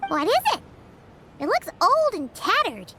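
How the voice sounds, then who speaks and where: A woman's voice: very high voice, very animated, a little slow, heavy background noise, exaggerated voice. An actress voicing a small, chirpy floating companion girl in a game, quippy and curious. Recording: loud game music behind.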